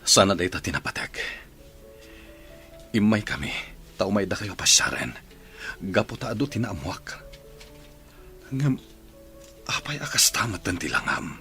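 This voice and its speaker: A man's voice: fairly low pitch, sing-song, measured pace, long pauses, pushed and bright, faint music behind, exaggerated voice. A man playing a remorseful husband in a radio drama, speaking in an earnest, pleading way. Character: remorseful husband begging forgiveness.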